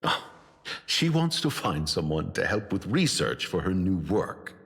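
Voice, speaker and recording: An actor playing a courteous noble statesman of an ancient city in a game, speaking formally and deliberately. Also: deep voice, sing-song, creaky, fairly bright tone, heavily acted. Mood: polite. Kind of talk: game character voice acting. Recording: music behind. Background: music.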